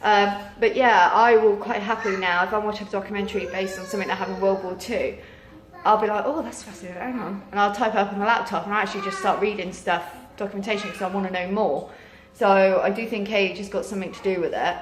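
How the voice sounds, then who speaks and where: A woman's voice: medium pitch, fairly even intonation, fast. A British woman in her thirties chatting casually and informally in a rambling way, tired and losing her voice with a sore throat. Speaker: vlogger, mother. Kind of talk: casual chat to camera. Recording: roomy echo, clear background noise.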